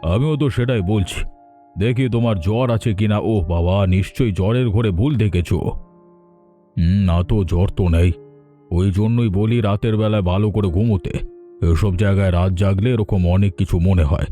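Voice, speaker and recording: A man acts out a middle-aged father in a horror cartoon. His voice is low and dark, with a weary, exasperated, dismissive delivery. Faint music plays behind him. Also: normal speed, natural voice.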